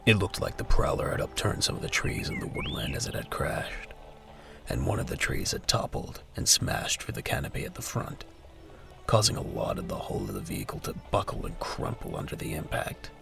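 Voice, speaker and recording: A man's voice: low-pitched, huge pitch swings, fairly quick, vocal fry. A man reading scripted narration for a military audio drama as its narrator. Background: music.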